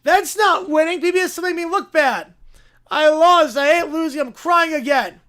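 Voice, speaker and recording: A woman's voice: extremely high pitch, moderate pace, a bit bright, pitched above the performer's own voice. A woman voicing whiny, bratty, weepy small children in a cartoon-style skit, full of petulant complaint. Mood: sulky.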